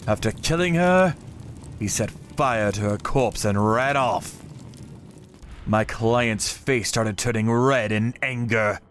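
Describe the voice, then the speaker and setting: Slow, grave male narrator. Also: lowish voice, a little hiss or hum. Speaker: narrator of a manga story, telling it in first person as a vigilante character. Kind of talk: scripted dramatic narration. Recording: music behind the voice.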